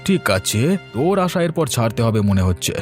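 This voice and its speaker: A man's voice: mid-range voice, very animated, fairly quick, a little mellow, soft background music, lightly acted. A man playing a bossy, scornful village man in a cartoon, scolding and dismissive. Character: bossy, scornful, disbelieving village elder brother figure. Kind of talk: cartoon story acting.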